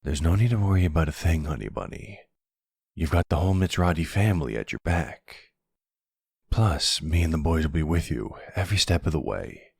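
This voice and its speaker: A suave, middle-aged mafia boss played by a man in an audio roleplay. The voice is deep and gravelly, close and dry, with a tender, reassuring way of soothing a loved one. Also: lively intonation, moderate pace, no echo, heavily acted. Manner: tender, soothing, smooth-talking. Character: suave older mafia boss, devoted husband and father. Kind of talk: intimate ASMR boyfriend roleplay acting.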